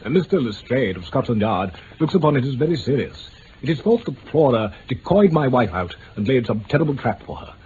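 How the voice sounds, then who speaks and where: An actor with an upper-class English accent playing a stiff, haughty lord, hesitant and condescending, in mid-century radio drama style. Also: male voice, mid-range voice, big pitch swings, brisk, darkish tone, close to an everyday voice. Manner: hesitant, pompous, formal. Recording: dull, hissy old radio recording.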